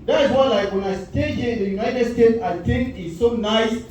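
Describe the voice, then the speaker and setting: A man's voice: very high voice, normal speed, slight background noise. A man in his forties from Cameroon with a Cameroonian accent, telling stand-up stories and acting out quoted voices. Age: 40s. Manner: storytelling with lively voiced quotes. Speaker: stand-up comedian. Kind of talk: stand-up comedy set. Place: comedy club stage. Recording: echoey club audio from far off.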